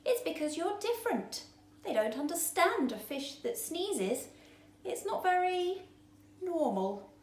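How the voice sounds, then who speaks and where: A woman's voice: very high-pitched, sing-song, a little slow, voice pushed up, full character voice. A woman voicing a tiny, eager fish who talks in a cheerful, encouraging way. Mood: matter-of-fact. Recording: roomy echo.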